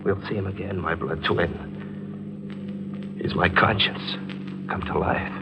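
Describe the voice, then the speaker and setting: An actor playing a haunted, guilt-ridden writer in a 1940s radio drama, brooding and melodramatic. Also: male voice, low voice, steady tone, a little slow, creaky, very noisy. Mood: grim, fatalistic. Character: haunted, guilt-ridden male writer narrating his nightmare. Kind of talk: 1940s radio acting, dramatic first-person narration. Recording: vintage 1940s radio recording with music.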